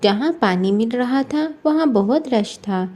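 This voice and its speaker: बहुत ऊँची आवाज़ में कहानी सुनाती महिला. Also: female voice, on the high side, lively intonation, unhurried, a little mellow. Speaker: storyteller. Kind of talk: scripted moral story narration.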